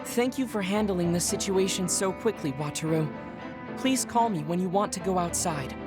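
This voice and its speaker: A woman's voice: lowish voice, normal speed, close to an everyday voice. A woman playing a polite, formal, dutiful young teammate in an anime game, courteous. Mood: polite, composed. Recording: music clearly behind the voice.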